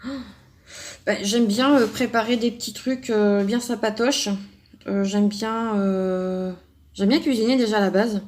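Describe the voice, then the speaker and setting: Young woman from France, craft vlogger, casual and rambling, mid-pitched voice. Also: fairly even intonation, unhurried, a little mellow. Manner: chatty, rambling, many fillers and restarts. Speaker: craft YouTuber answering a subscriber tag. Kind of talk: casual chat to camera.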